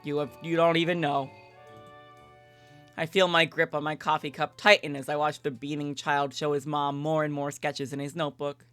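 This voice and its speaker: A woman's voice: sits low, level tone, moderate pace, a bit bright, smooth. A woman livestreaming a visual novel, reading it aloud and chatting candidly in casual, sweary language. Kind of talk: gaming livestream with read-aloud and casual commentary. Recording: background music under the voice. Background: game music.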